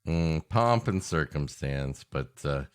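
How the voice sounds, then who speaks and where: A man co-hosting a podcast with improvised comic banter in a halting, mock-earnest, repetitive way. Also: a bass voice, lively intonation, clean tone. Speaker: podcast co-host.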